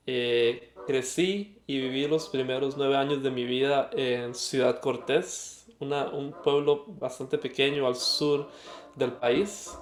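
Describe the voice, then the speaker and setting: A man's voice: medium pitch, echoey room. A young Costa Rican man with a Costa Rican accent, telling his story as an interview guest, reflective and hesitant with fillers. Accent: Costa Rican Spanish. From Costa Rica. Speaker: guest musician.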